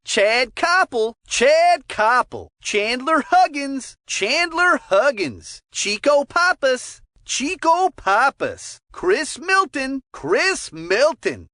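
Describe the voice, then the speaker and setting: A young boy sports announcer in a cartoon hockey game. The voice is high and swings widely in pitch. Each short call comes out slowly and deliberately, in a close, dry recording. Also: fairly bright tone, no room sound, exaggerated voice. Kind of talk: isolated game announcer name calls.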